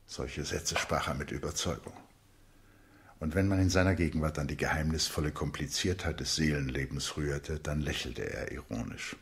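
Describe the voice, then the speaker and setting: A man giving measured, scripted literary narration for a radio play. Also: extremely low pitch, creaky, slightly rough.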